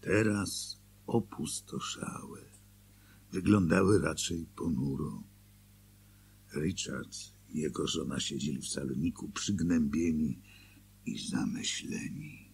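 Townsman narrator for radio drama: deliberate and slow, gravelly, dramatic, proud yet rueful. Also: extremely low pitch, exaggerated voice. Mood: gloomy, rueful. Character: proud, then rueful small-town citizen telling his story.

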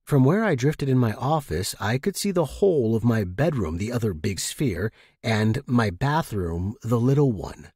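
A man with a husky voice reading first-person short fiction as an expressive narrator. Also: mid-range voice, normal speed, few pauses, no echo, clean studio sound.

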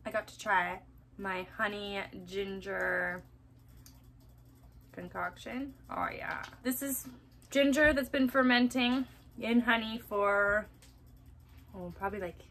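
A woman in her thirties talks casually to a handheld camera at arm's length. Her voice is bright and forward, at a middling pitch. She is playful and self-deprecating, and there is clear noise behind her. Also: slow, long pauses. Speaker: family vlogger, mother. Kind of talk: casual chat to camera about daily chores. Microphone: camera or phone at arm's length.

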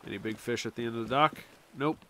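A man's voice: mid-range voice, quick pace, bright, forceful tone, slight background noise. A man casually commenting as he plays a game on a stream, jokey and talking to himself, a bit sniffly from allergies. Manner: chatty, jokey, talks to himself and game characters. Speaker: gaming streamer.

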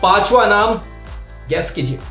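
A man in his thirties presents a cricket news report straight to camera from a small indoor room. His fairly high voice rises and dips as he stresses his points with energy. The sound is roomy and echoey. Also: measured pace, vocal fry, faint music behind. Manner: energetic, emphatic delivery with rising and falling pitch. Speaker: sports reporter.

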